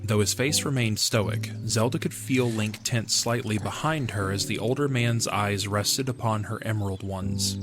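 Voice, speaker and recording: Casting: male narrator for a fantasy audio drama, low voice, scripted reading, faint music behind.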